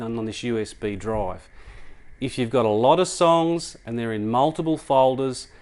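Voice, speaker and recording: A man giving a casual product demonstration, explaining things step by step in a conversational way. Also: medium pitch. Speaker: product reviewer.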